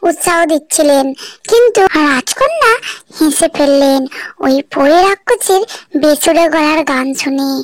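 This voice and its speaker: A woman's voice: very high-pitched, no room sound. A woman giving scripted narration of a fairy tale as the storyteller.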